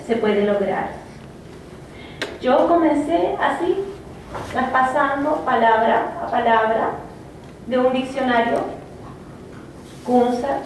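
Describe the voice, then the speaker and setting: A woman poet giving a reflective, halting personal talk, with many fillers and restarts. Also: fairly high pitch, darkish tone. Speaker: poet speaking of her native language. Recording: echoey room, from a distance, faint noise.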